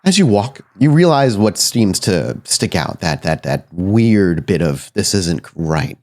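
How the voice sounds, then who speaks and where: A man working as game master, improvising suspenseful, deliberate narration for a horror tabletop roleplaying game. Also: fairly low pitch, lively intonation, darkish tone. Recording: music behind the voice. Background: background music.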